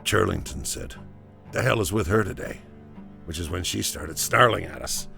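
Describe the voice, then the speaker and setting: Rattled soldier, low creaky voice, halting storytelling, audio drama monologue, music behind. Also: male voice, lively intonation, bright, forceful tone, full character voice. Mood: shaken, intense. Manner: halting, conversational storytelling with hesitations. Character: rattled male battalion soldier recounting a disturbing morning.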